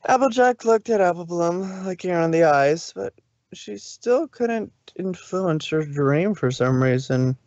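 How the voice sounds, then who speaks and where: A man's voice: high-pitched, lively intonation, unhurried, a little mellow, no echo, lo-fi sound. A man narrating a fan fiction story on a live stream, adding casual asides. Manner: plain reading with asides. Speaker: reader, narrator.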